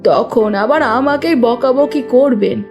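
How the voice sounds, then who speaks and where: A woman's voice: a little high, slow. A woman playing a devoted, anxious governess in an audio drama, speaking emphatically and with emotion. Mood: mild scolding. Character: devoted governess, anxious and protective of her young charge. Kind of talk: dramatised audio story acting. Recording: music behind the voice.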